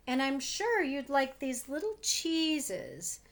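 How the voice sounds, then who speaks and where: A woman voicing a kindly, anxious little man of the mountains in a storybook, gentle, polite and earnest. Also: high-pitched, sing-song, slow pace, full character voice.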